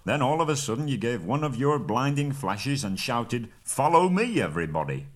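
A man voicing a grumbling, put-upon male dwarf who complains loudly and indignantly in a storybook reading. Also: mid-range voice, normal speed, voice pushed up, close to an everyday voice.

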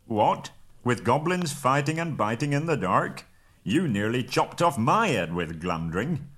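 A man voicing a grumbling, put-upon male dwarf who complains loudly and indignantly in a storybook reading. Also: lowish voice, lively intonation, moderate pace.

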